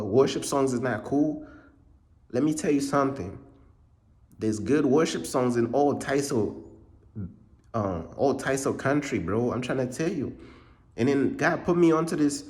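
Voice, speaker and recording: Slangy young man from Uganda. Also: medium pitch. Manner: slangy, repetitive, peppers speech with bro. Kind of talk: casual vlog monologue.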